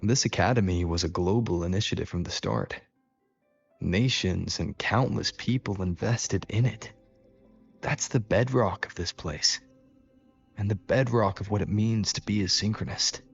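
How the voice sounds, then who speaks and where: An actor playing a calm, thoughtful young hero in a video game, speaking in a measured, low-key, gravelly voice. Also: male voice, exaggerated voice. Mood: composed, earnest. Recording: dull lo-fi game audio, faint music.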